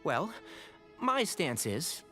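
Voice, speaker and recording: A shrewd young mediator with a lively, varied intonation. He is poised and articulate, politely assertive and analytical in dramatic game dialogue, with faint music underneath. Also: on the high side, extremely slow, heavily acted. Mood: polite, probing. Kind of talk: dramatic video game voice acting.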